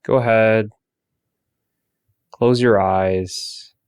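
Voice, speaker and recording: Male meditation guide, low and level, unhurried delivery, dry close sound. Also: clean tone, no room sound. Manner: slow, soft, calm instructions with long pauses.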